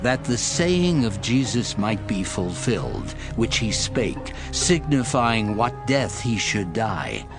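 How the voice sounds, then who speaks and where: A man narrating scripture in a steady, formal reading style. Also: fairly low pitch, slow pace, hardly any pauses. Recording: narration over music.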